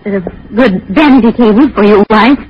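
A woman's voice: medium pitch, normal speed, lightly acted. An actress playing a polite, businesslike landlady in an old-time radio drama. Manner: practical, courteous. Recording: muffled, noisy old radio recording.

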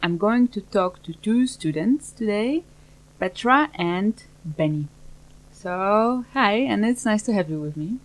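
A woman hosting an interview podcast, asking polite, measured questions. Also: mid-range voice, expressive, no room sound, poor audio. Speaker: podcast host.

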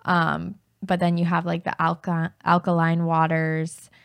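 A woman co-hosting a chatty home-health podcast, her voice rough and gravelly because she is losing it. She talks in a conversational way with quick asides. The sound is close and dry. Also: lowish voice, monotone, dry recording. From Florida. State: losing her voice, deeper than usual. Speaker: podcast co-host. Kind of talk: casual chat.

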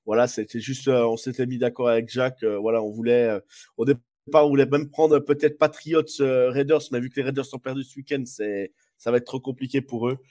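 Male co-host, mid pitch, stuffy from a cold, loose rambling delivery. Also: fairly even intonation, brisk, warm tone. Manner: rambling, many fillers and restarts. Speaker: podcast co-host. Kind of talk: casual sports chat.

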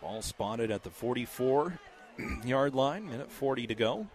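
A man with a scratchy voice calling a high school football game play by play, steady and measured, with casual fillers. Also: a faint crowd. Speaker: play-by-play announcer.

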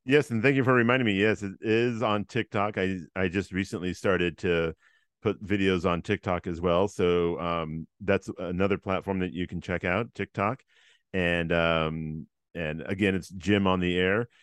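A man hosting an interview podcast, upbeat and loud-voiced, with lots of ums while he plugs shows and links. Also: low voice, fairly even intonation, moderate pace, clean tone, no room sound. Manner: upbeat, repeats plugs, frequent ums and laughs. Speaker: podcast host. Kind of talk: casual interview chat.

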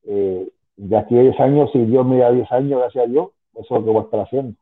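A man's voice: medium pitch, fast talker. A man in his fifties talks through a phone line, sounding tinny and thin. He rambles as an interview guest, restarting sentences and dropping asides. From Spanish-speaking, moved to the US at ten. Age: 50s. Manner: rambling, many restarts and "tú sabes". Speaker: guest, former wrestling manager. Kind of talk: casual interview on a call.

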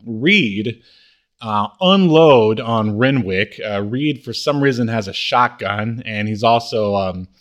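Man casually recapping in a halting way. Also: on the low side, animated. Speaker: podcast co-host. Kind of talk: casual recap of a TV comedy episode.

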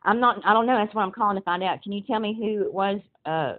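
Halting woman caller on a muffled phone line. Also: medium pitch. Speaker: caller requesting a police report. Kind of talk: phone inquiry, recorded by the caller. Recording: muffled, narrow telephone call.

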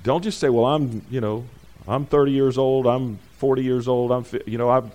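Man, preacher, informal sermon, middle pitch, rambling, self-correcting, emphatic bursts. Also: steady tone, normal speed. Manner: rises loud and high when emphatic. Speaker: pastor.

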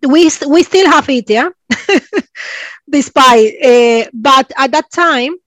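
A woman's voice: sits high, takes it slowly, fairly bright tone, dry recording. Cuban woman, Spanish-accented English. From Cuba. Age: 40s. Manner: searching for words, laughing often. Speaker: guest. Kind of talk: interview conversation.

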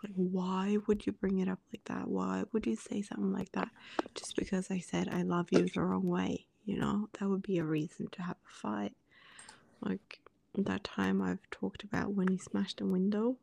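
A woman talking in a soft, slow whisper about her own past, calm and measured. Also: medium pitch, creaky, a little hiss or hum. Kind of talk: whispered confessional conversation.

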